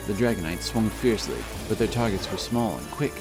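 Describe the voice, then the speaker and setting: Male narrator, low register, dramatic scripted fantasy storytelling over prominent music. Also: lively intonation, a little fast. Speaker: audiobook narrator. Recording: loud music behind the voice.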